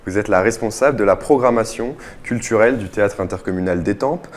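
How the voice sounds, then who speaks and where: A man's voice: lowish voice, fast talker, slight background noise. A man hosting a radio interview, introducing segments and putting questions to a guest. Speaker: radio host.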